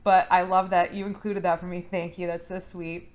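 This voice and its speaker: Soft-spoken woman podcast co-host. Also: lowish voice, normal speed, dull audio. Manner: relaxed, soft-spoken, trails off quietly. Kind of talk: casual chat, reading listener mail.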